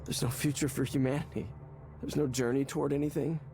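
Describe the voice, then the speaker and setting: An actor playing a despairing, hollow-feeling man in a video game, speaking haltingly and with bitterness. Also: mid-range voice, flat delivery, mellow, natural voice. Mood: bitter despair. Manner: halting, hesitant, building to bitter intensity. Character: despairing, hollow-feeling man pouring out bleak thoughts to a therapist. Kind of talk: naturalistic video game voice acting. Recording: music behind the voice.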